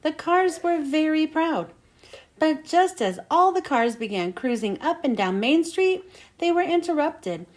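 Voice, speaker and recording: High-pitched, animated woman reading a storybook. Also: measured pace. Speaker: children's book reader. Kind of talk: expressive storybook read-aloud for children.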